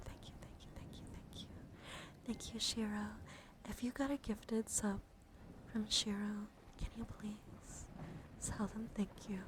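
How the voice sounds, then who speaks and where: A woman chatting softly to livestream viewers in ASMR style, breathy and often whispering, with a gentle, soothing, intimate manner close to the mic. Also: medium pitch. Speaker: live streamer hosting ASMR. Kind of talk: ASMR, casual chat with viewers.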